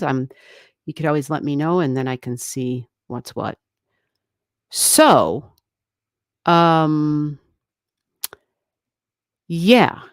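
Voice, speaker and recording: A woman's voice: sits low, gravelly, no room sound, clean studio sound. A woman hosting an astrology livestream from a room at home, chatting casually with viewers, rambling and laughing often. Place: home room, not soundproofed. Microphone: desk microphone, turned down halfway.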